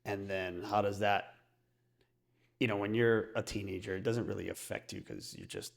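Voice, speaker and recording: A man's voice: lowish voice, big pitch swings, moderate pace, vocal fry. A man co-hosting a casual podcast, talking reflectively and often checking in with his listeners. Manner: conversational, peppers talk with check-in questions. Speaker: podcast co-host.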